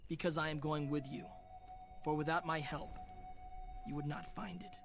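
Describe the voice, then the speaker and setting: Game role: solemn Apache chief's son, male actor, slightly high voice, very even delivery, formal and shrewd, music underneath. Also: natural voice. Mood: firm, solemn. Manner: measured, formal speech. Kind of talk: dramatic video game cutscene acting.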